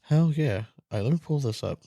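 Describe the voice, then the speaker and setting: A man's voice: medium pitch, lively intonation, fairly quick, creaky, warm tone, no room sound. Guy hosting a casual sports podcast, slangy n blunt, swears, dry sound. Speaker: podcast host.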